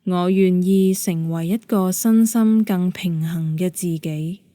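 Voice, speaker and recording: A woman with a Hong Kong Cantonese accent leading a guided meditation in a calm, gentle, measured manner. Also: lowish voice, dry recording, clean studio sound. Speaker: yoga nidra meditation guide.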